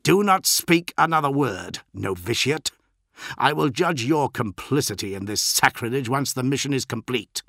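A man's voice: medium pitch, normal speed, hardly any pauses, a bit bright, dry recording, clean studio sound, pitched above the performer's own voice. A man voicing a hulking, enraged armoured captain in a science fiction audiobook, growling stern commands like a strict zealot. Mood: cold, commanding. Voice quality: growling, snarling.

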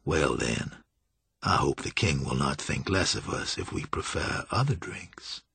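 Audiobook vampire lord, played by a male narrator: very low, courtly, languid, mocking menace. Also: animated, a little slow, a bit bright, no echo, exaggerated voice. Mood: silky mockery. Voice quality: low, smooth, musical. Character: suave, sinister male vampire master, slyly menacing. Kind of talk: audiobook character acting.

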